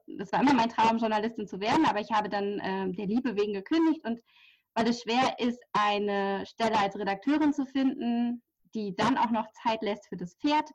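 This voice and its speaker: A woman's voice: on the high side, a little mellow. A woman in her thirties from near Hamburg, speaking as a podcast guest in a chatty way, with many fillers and light laughs. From near Hamburg, Germany. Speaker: podcast guest, journalist and horse therapist. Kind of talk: casual interview talk. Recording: slightly dull lo-fi audio.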